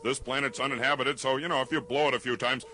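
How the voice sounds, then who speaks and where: A man's voice: medium pitch, fairly even intonation, quick pace, pushed and bright, natural voice. A man playing a pompous, silly space hero commander with a blustering, theatrical manner in a comedic radio serial. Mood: bossy, coaxing.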